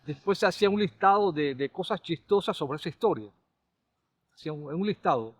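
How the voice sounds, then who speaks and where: Panamanian guy in his middle years, kinda high voice, rambly storytelling, restarts a lot. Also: animated, brisk, no room sound. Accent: Panamanian Spanish. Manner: rambling, many restarts and repeated words. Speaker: caricaturist and comedy writer, guest. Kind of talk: relaxed conversational storytelling.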